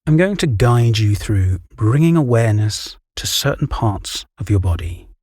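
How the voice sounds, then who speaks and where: A man guiding a relaxation meditation, giving calm, soothing, measured instructions. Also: medium pitch, no room sound, clean studio sound. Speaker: meditation guide. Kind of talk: guided relaxation meditation, scripted narration.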